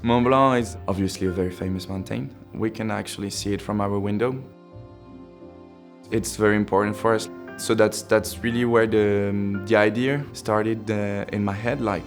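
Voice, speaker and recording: Man, lowish voice, thoughtful with lots of uhs, soft music behind. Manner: thoughtful, with fillers. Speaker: project initiator. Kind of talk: documentary interview.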